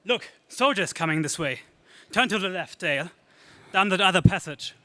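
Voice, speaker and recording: A man's voice: sits high, expressive, normal speed, bright, forceful tone, dry recording. A man playing a gallant young space hero, speaking earnestly and declaiming in 1930s radio serial style. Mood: urgent.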